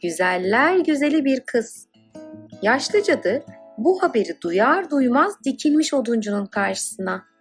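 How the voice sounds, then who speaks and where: A woman narrating a scripted children's fairy tale in an expressive, dramatic storytelling manner. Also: on the high side, measured pace, soft background music.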